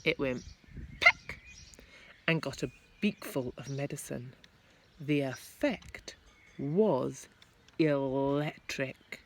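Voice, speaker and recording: Animated, bright-voiced woman reading a story. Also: very deep voice, very slow, pauses often. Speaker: primary school teacher reading aloud. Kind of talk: expressive children's story narration.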